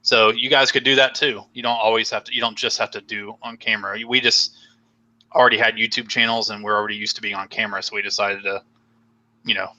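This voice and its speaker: A man's voice: on the low side, steady tone, quick pace, pushed and bright, poor audio. A young man hosting a casual live stream chat, rambling and joking with many fillers while losing his voice. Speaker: host.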